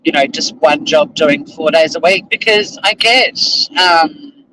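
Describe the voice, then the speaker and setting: A man's voice: fairly high pitch, a little slow, sharp tone, a little hiss or hum, poor audio. Rambling Australian man chatting while driving. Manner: rambling, self-deprecating, swears casually. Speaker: vlogger and lawyer. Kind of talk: casual chat to camera while driving. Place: inside a car while driving.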